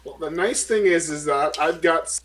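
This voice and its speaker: A man's voice: fairly high pitch, moderate pace, a bit bright, slight background noise. A man playing a tabletop game, chatting casually with wry, teasing remarks.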